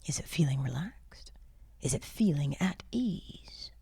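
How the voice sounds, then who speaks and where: A woman leading a guided sleep meditation in a soft, breathy, often near-whispered voice, soothing, unhurried and gently encouraging. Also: sits low, lively intonation, dry recording.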